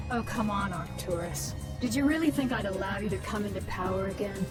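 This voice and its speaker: A woman acts out a commanding queen of an alien swarm in a video game. She is taunting and merciless, with a mid-range voice set back from the mic. Loud music plays under every word. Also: mid-range voice, far from the mic, close to an everyday voice. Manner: imperious, taunting, deliberate. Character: vengeful alien-infested queen, commanding, coldly cruel woman. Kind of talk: dramatic video game voice acting.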